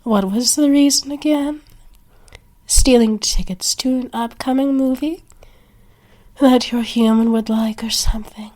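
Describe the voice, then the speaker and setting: A jealous vampire woman, acted by a female voice performer in an audio roleplay. She speaks in a raised, high voice with scornful, bossy drama, as a haughty and possessive rival would. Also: unhurried, mellow, close to an everyday voice. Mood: mocking. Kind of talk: ASMR roleplay acting.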